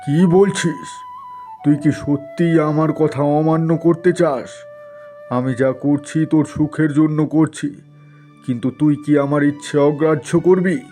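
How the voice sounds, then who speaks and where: Cartoon landlord father, middle-aged, played by a man: stern, commanding, dark-toned, measured pace. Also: fairly high pitch, fairly even intonation, faint music behind, natural voice. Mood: angry. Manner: indignant, commanding. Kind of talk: cartoon story voice acting.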